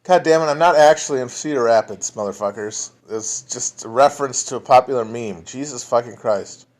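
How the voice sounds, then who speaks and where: A man talks casually to an online audience, jumping between topics. He swears freely, restarts his sentences and lets lines trail off. His voice sits at an ordinary middle pitch. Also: brisk. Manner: rambling, profane, lots of restarts and trailing off. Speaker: podcast host answering chat. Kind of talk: casual livestream chat. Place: home, alone at a phone or computer.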